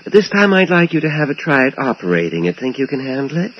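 An actor playing a callous mad-scientist dentist in a radio suspense drama, speaking with genteel, matter-of-fact menace and condescending reassurance. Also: male voice, medium pitch, very animated, fast talker, lightly acted. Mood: calm, patronising. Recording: dull, noisy old radio drama recording.

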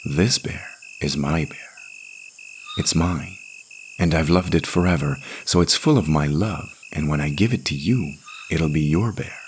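A man voicing a gentle, motherly woman in a story, coaxing and comforting a child softly. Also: extremely low pitch, a little slow, a little hiss or hum. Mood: tender.

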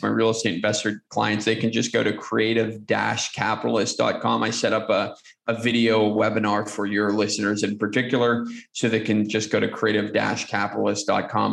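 A man with a gravelly, low-ish voice speaks earnestly as a podcast guest, giving motivational answers. His voice sits a bit lower than most men's, and the room around him is echoey. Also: a bit bright. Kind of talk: conversational interview answers.

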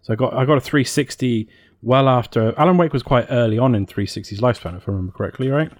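Guy on a casual podcast, kinda hesitant thinking out loud, really dry sound, normal pitch. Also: quick pace, no echo. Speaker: podcast co-host. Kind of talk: casual chat about games and films.

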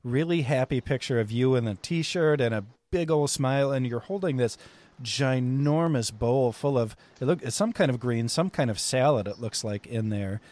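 A man's voice: mid-range voice, normal speed, a little mellow. A man hosting a podcast, chatting casually and joking, often laughing. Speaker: podcast host. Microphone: Skype call.

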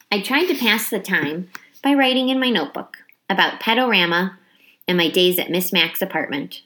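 A woman reading a children's storybook aloud with dramatic, animated delivery and emphasized words. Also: lowish voice. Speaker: teacher reading a book aloud. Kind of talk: expressive children's storybook narration.

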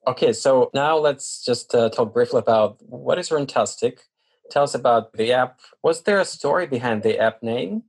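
A man hosting an interview podcast in a measured, deliberate way, sometimes repeating and rephrasing himself. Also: mid-range voice, vocal fry. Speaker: podcast host.

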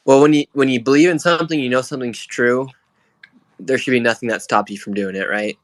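A man's voice: mid-range voice, steady tone, a little fast. A young male independent reporter, a guest in a live interview, speaking in a plain, casual, earnest way.

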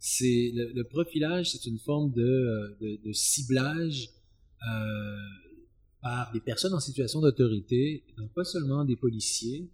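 A man answering as an expert guest in an interview, explaining in a halting, searching way and often repeating words. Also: medium pitch, darkish tone, husky. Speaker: expert guest, sociology professor.

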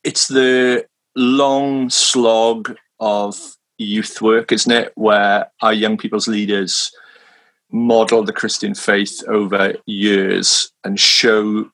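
A man's voice: lowish voice, little pitch movement, very slow, a bit bright. A man raised in Wales, a minister, talking casually and hesitantly, with frequent restarts and small laughs. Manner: hesitant, many restarts, self-deprecating laughs. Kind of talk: casual conversation. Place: London.

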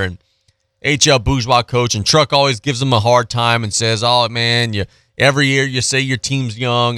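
Bright-voiced male sports radio host. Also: medium pitch, dry recording, studio quality. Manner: informal, slangy, animated storytelling with repetition. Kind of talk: casual, chatty live radio sports talk. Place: radio studio. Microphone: close studio microphone.

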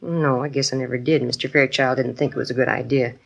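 A woman's voice: extremely low pitch, steady tone, fast, vocal fry, full character voice. An American actress playing a flustered, genteel, trusting woman shopkeeper in a 1950s radio drama, polite and fretful. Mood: trusting, troubled.

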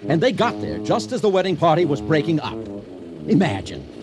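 An actor playing an earnest storyteller, narrating a radio drama in a dramatic, mock-serious manner. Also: male voice, on the high side, very animated, fairly quick, music in the background.

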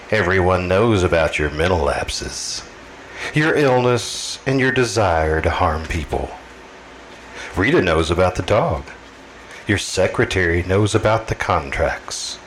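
An actor playing a sinister, sardonic all-knowing radio mystery narrator, mocking and deliberate. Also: male voice, deep voice, slight background noise.

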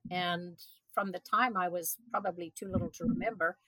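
A woman's voice: fairly low pitch, steady tone, moderate pace, bright, forceful tone, no room sound, rough recording. A woman in her seventies from South Africa, a guest answering interview questions earnestly, with frequent ums and restarts. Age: 70s. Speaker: guest, event organizer.

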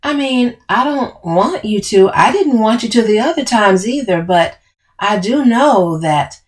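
A woman's voice: a little high, animated, unhurried, voice pushed up. A woman voicing an overbearing, confrontational woman in dramatic audiobook dialogue, emphatic and bossy. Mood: blunt. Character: Camille, overbearing, furious, taunting woman, bossy cousin.

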